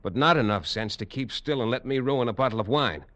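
An actor in a 1950s radio drama playing a shrewd adventurer with a dry, knowing manner. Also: male voice, medium pitch, fairly quick, a bit bright, natural voice. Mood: wry. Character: shrewd adventurer, cool and wry.

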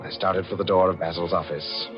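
A man's voice: low-pitched, steady tone, a little fast, natural voice. An actor playing a cunning, cold-blooded man who narrates his own murder scheme in tense, dramatic 1940s radio style. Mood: agitated scheming. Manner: tense dramatic swings from hushed to frantic. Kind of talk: 1940s radio mystery acting. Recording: muffled old radio broadcast, music behind.

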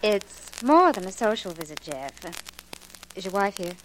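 Coy society girl, mid-pitched. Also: sing-song, a little slow, low-quality recording. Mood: genteel, coaxing. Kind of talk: 1940s radio drama acting. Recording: hissy, clicky 1940s radio recording.

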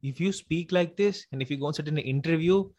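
A man hosting a podcast interview, talking in a rushed, halting way with many restarts. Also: on the high side, a little mellow. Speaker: podcast host. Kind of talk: casual interview chat.

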